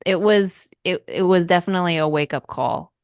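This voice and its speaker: A woman's voice: on the low side, fairly quick, smooth, dry recording, clean studio sound. A woman co-hosting a podcast in casual chat, laughing often and trailing off into fillers and restarts. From Spanish family, mother from southern Spain. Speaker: podcast co-host.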